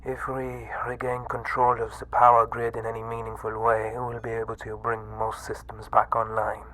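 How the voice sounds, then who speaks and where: A man voicing a frail, obsessive mad scientist with a breathy, halting delivery in an ASMR horror roleplay. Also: medium pitch, monotone, pushed and bright, slight background noise, natural voice. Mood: weary, grave. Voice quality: breathy, whispery. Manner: halting, theatrical. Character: weak, obsessive mad scientist doctor running a monster containment site.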